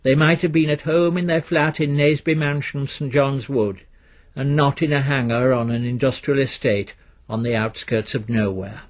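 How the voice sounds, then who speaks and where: A man reading a literary story as narrator in a measured, dry storytelling manner. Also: medium pitch, steady tone. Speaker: narrator, reader.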